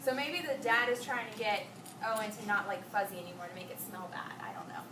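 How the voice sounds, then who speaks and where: A woman reading a storybook aloud to children in an expressive way, pausing to ask questions and echo their answers. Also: medium pitch. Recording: echoey, noisy room, distant mic.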